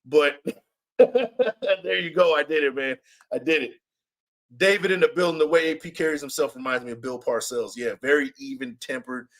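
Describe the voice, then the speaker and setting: A man with a raspy voice hosting a sports fan podcast, chatting casually and repeating himself for emphasis. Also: a little high, moderate pace. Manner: animated, repeats himself, reads chat comments aloud. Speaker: podcast host. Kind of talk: casual live-stream chat.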